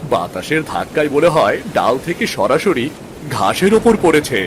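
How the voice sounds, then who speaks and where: Guy doing cheeky village boy in a cartoon, eager, little bit of rumble in background. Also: very animated, moderate pace, close to an everyday voice. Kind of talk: cartoon story acting.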